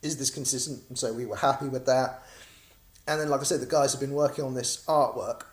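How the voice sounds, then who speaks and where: A man's voice: medium pitch, fairly even intonation, a little fast, roomy sound. An English man from Norwich giving a casual, rambling update to backers of his comic project, with many ums and restarts. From Norwich, England.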